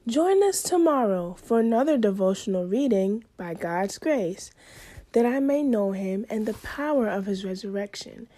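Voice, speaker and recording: A woman's voice: medium pitch, animated, normal speed, warm tone. A woman reading a scripted devotional sign-off and scripture.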